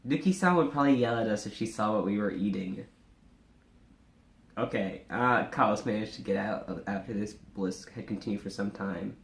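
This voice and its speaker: A man's voice: lowish voice, normal speed, gravelly. A man co-hosting an informal story reading, stumbling here and there as he reads and chats. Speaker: co-host reading fan fiction aloud. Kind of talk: informal live story reading and casual chat. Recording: echoey room.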